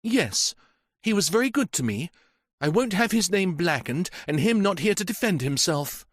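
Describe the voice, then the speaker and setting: A grieving young woman in a mystery audiobook, defiant and close to tears, as a male narrator puts her on. Her voice rides high and swings widely, with a dry, close sound. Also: sing-song, moderate pace, dry recording. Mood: impassioned. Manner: impassioned, tearful outbursts.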